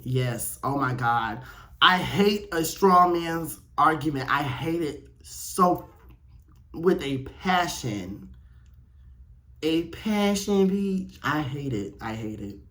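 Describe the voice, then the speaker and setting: A man's voice: a little high, a bit bright. Sassy, candid man chatting casually. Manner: candid, sassy, peppered with playful swearing. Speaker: podcast host. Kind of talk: casual chat reacting to a quiz read aloud.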